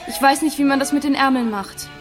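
A woman's voice: high voice, quick pace, over music, close to an everyday voice. An actress playing a helpless, pleading neighbour woman in a radio drama scene.

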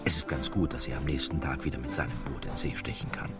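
A male narrator with a very deep voice tells a radio play story. He is wry and drops in chatty asides. Music plays clearly behind him. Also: fast talker. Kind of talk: scripted radio drama narration.

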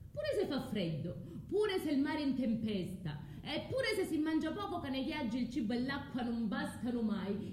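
An actress playing a bitter, yearning abandoned emigrant wife with a southern Italian accent, in a halting, insistent radio drama monologue. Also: female voice, mellow, natural voice. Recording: roomy sound with faint rumbling noise.

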